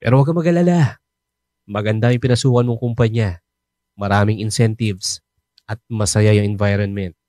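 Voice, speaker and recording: An actor playing a talkative, helpful fellow overseas worker who jokes and reassures, in a radio drama. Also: male voice, on the low side, normal speed, pauses often, warm tone, no room sound, studio quality, natural voice. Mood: friendly. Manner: chatty, joking, reassuring. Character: chatty, helpful coworker who knows everyone.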